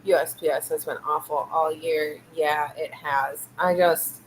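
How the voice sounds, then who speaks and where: A woman's voice: deep voice, steady tone, a little slow, slight background noise, rough recording. Meandering woman chatting late at night. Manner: meandering and hesitant, many fillers and trailing thoughts. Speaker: livestream host. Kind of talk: casual late-night livestream chat. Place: home room lit by fish tanks at night.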